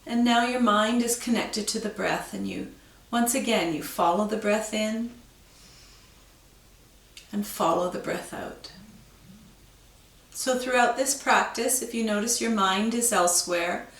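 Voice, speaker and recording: A woman teaching yoga, guiding a breathing meditation with slow, calm, soothing instructions. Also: medium pitch, echoey room, recorded from a distance.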